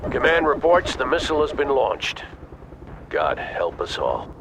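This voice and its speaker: An actor playing a seasoned, gruff American colonel in a video game, weary and blunt, with a gravelly voice. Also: male voice, medium pitch, big pitch swings, measured pace, background noise. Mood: grave, commanding. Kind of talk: video game cutscene acting.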